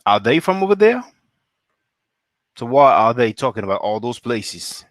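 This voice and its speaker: A man's voice: mid-range voice, sing-song, normal speed, dry recording, clean studio sound. A man from France hosting a solo live stream, reading viewer comments and asking pointed rhetorical questions.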